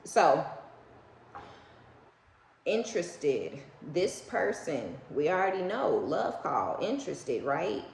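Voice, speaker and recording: A woman's voice: on the low side, very animated, slow pace, creaky, long pauses. An American woman giving a casual, unscripted tarot reading to viewers in a blunt, sassy, slangy way, swearing freely and often checking in with short tag questions. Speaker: tarot reader, online host. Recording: echoey room with faint background noise.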